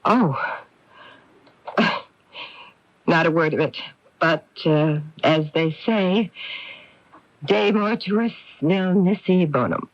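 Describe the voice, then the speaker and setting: A woman's voice: lowish voice, slow, dry recording. A slick middle-aged newspaper lady in an old radio play, evasive and emphatic, dry muffled sound. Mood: cool, composed. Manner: theatrical, emphatic, repeats words. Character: middle-aged newspaperwoman, worldly, evasive, sharp-tongued, smoothly self-justifying. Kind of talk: 1980s radio mystery acting. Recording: dull, dry lo-fi radio broadcast.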